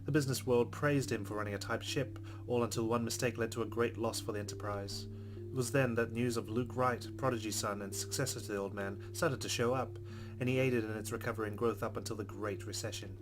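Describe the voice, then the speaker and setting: A man's voice: fairly low pitch, steady tone, a little fast, music in the background, low-quality recording. A man reading a visual novel aloud and adding casual commentary as a gaming host. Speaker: gaming let's play host.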